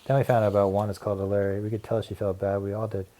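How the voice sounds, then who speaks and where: Mellow man narrating a children's story. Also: lowish voice, flat delivery, rapid-fire.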